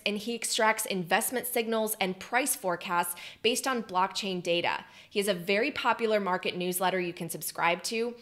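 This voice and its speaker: An enthusiastic young woman hosting a podcast, in a mid-pitched voice. She speaks brightly to her guest over a video call from a room at home. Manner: upbeat, enthusiastic. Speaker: podcast host. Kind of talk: interview host.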